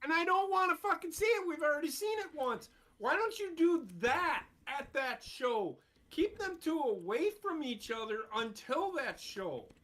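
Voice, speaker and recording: A man's voice: very high-pitched, sing-song, measured pace, hardly any pauses, pushed and bright. A man co-hosting a casual podcast, ranting bluntly and swearing freely about wrestling and politics. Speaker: podcast co-host. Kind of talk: casual opinionated chat.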